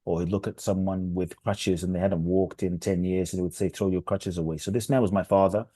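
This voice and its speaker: Deep, mellow man telling his story. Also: steady tone, a little fast, breathy edge, dry recording. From London, England. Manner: reflective, earnest storytelling. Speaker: guest, inspirational speaker. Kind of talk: podcast interview.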